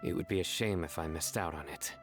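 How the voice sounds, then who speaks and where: A stoic, earnest man from a video game , played by a male actor, with a low voice. He talks formally and completely deadpan, over faint background music. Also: a little fast, lightly acted.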